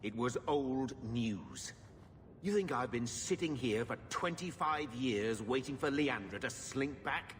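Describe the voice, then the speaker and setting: Defensive, shifty uncle, middle-aged, game cutscene with music and long reverb. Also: male voice, medium pitch, lively intonation, a little slow, a bit bright. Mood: curt. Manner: curt, defensive.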